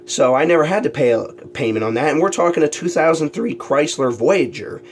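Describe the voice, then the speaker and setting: Congested male vlog host, slightly high voice, rambling solo monologue, faint hum. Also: animated, a little fast. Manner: rambling, full of ums and restarts. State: congested from allergies and a cold. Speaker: talk show host. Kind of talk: casual solo monologue to camera.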